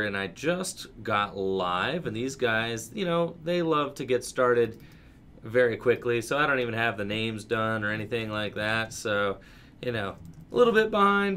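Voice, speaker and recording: A man with a raspy voice giving upbeat live commentary on a card game tournament and hosting a stream. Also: medium pitch, pushed and bright, a little hiss or hum. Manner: upbeat, hyped streamer energy. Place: small game store studio.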